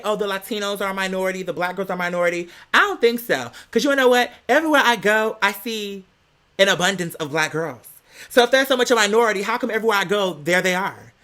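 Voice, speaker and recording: A man's voice: high-pitched, sharp tone. An American man giving a casual, opinionated monologue in a sassy, emphatic, slangy manner. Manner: sassy, slangy, emphatic, peppered with "girl" and "bitch". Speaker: online commentator.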